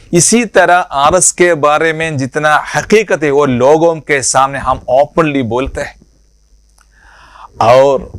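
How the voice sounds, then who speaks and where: A man giving a public political speech as an organisation leader, in deliberate broken phrases with emphatic repetition and rhetorical questions. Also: fairly high pitch, no room sound, studio quality.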